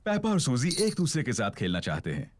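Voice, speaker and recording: A man's voice: medium pitch, wildly swooping pitch, fast, background noise. A man narrating a children's cartoon story in simple, scripted storytelling sentences. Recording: music behind the narration.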